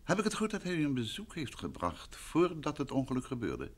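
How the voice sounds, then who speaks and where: A man's voice: medium pitch, wildly swooping pitch, fairly quick. An actor playing a composed, probing male detective in a Dutch radio drama. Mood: composed, inquiring. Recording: old radio play, background noise.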